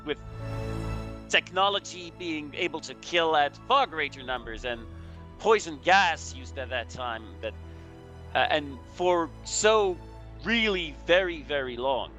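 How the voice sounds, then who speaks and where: Bright-voiced man chatting history, slightly high. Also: lively intonation. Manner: halting, searching for words. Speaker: game commentator. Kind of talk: casual historical chat over gameplay. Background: music.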